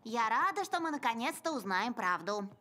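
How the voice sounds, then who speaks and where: A woman voicing an earnest, sensible young female pony in an animated series, speaking articulately, with music behind. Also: fairly high pitch, normal speed. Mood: relieved. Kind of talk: animated series dub acting.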